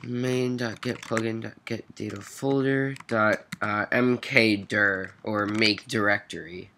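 A bright-voiced man hosts a coding walkthrough, sounding nasal and congested with a cold. He talks it through haltingly, correcting himself along the way. There is faint noise behind him, with keyboard typing and clicks. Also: fairly low pitch, fairly even intonation. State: stuffy nose from a cold. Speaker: programming tutorial host. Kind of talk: informal coding walkthrough, thinking aloud. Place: at a computer, screen recording voice-over. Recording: noisy mic with keyboard clicks.

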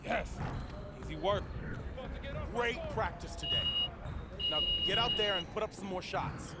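A man's voice: high voice, a little slow, very noisy. A man playing an encouraging basketball coach who calls out practice drills in a video game.

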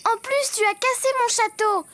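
A tiny, sweet animal child from a children's cartoon. The voice is extremely high and very bright, with a childlike, plaintive edge. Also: female voice, steady tone, moderate pace. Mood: upset. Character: small young animal child, sweet and easily upset.

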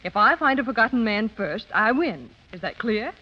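An actress playing a haughty, demanding young society heiress in a 1930s radio comedy. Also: female voice, a little high, big pitch swings, moderate pace. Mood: imperious. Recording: muffled vintage radio broadcast recording.

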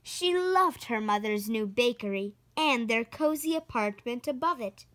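A woman reading a children's storybook aloud in an expressive, deliberate narrating style. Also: on the high side, dry recording. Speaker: storybook reader.

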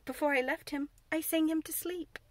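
Woman reader as an earnest, determined little girl, pitched up, dry close sound. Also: steady tone, normal speed, no echo, natural voice.

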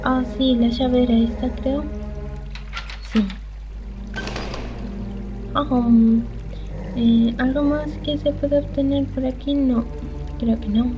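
A woman's voice: sits high, fairly even intonation, slow pace, long pauses, lo-fi sound. A woman doing casual let's play commentary on a video game, hesitant and half talking to herself. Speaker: gaming channel host playing a video game. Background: game music.

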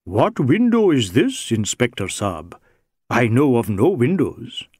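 A man's voice: medium pitch, wildly swooping pitch, takes it slowly, a little mellow, husky, no echo, studio quality, full character voice. A man voicing a big, brawny Sikh rogue in an audiobook, sly and teasing, with wheedling mock deference. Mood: feigned innocence. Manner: wheedling mock deference, Indian English phrasing. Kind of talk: audiobook character dialogue.

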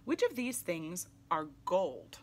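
A woman reading a picture book aloud to young children in an animated, exclamatory way. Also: fairly low pitch, takes it slowly. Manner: animated, exclamatory, with audible gasps. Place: small indoor room, seated in armchair.

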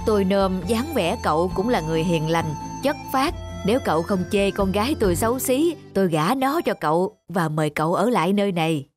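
A woman's voice: mid-range voice, expressive, a little fast, few pauses, pitched below the performer's own voice, natural voice. Woman as kindly, humble country mother, music behind. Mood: kindly, grateful. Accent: Southern Vietnamese. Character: kindly middle-aged rural mother, humble. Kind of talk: cartoon folk tale reading.

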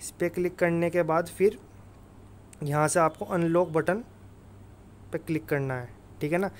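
Higher-pitched man explaining. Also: measured pace, a little hiss or hum. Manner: instructive, emphatic, repeats tag phrases. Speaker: exam-prep video presenter. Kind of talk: informal explainer over screen recording.

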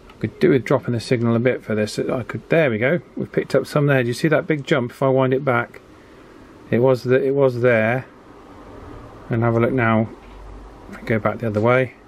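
A man's voice: medium pitch, fairly even intonation, fairly quick. A man giving a casual hands-on electronics tutorial, thinking aloud informally as he works at an indoor workbench. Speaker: electronics hobbyist demonstrating radio alignment. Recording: clear background noise from workbench.

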